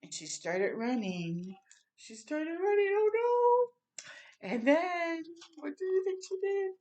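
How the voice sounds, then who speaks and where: A woman reading a children's story aloud expressively, with animated asides and questions to young listeners. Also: very high voice, slow.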